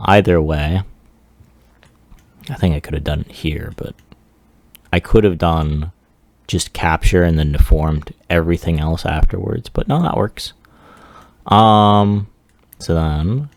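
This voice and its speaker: A man giving a casual software demo walkthrough, offhand and self-deprecating as he thinks aloud. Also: low-pitched, dry recording. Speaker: 3D artist presenting a project.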